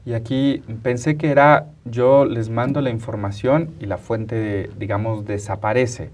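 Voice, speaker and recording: A man's voice: mid-range voice, steady tone, unhurried, distant mic. A man, interviewing conversationally as a studio co-host. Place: TV studio, indoors, round table.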